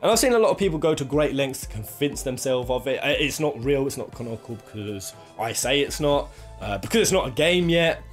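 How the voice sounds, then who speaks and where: A male commentator talks in a casual, opinionated way, with a medium-pitched voice that moves up and down a lot. He rambles and leans hard on points, swearing mildly. Instrumental music plays behind him. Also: expressive, fairly quick. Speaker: video host, game commentator.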